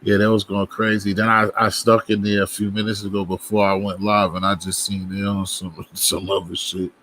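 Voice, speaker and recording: A man's voice: lowish voice, little pitch movement, normal speed, fairly bright tone, slight background noise, poor audio. A man from Boston with a Boston accent talks to his viewers on a casual livestream. His speech rambles and restarts as he greets people and shoots the breeze. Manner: loose, rambling talk to viewers, frequent restarts. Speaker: livestream host.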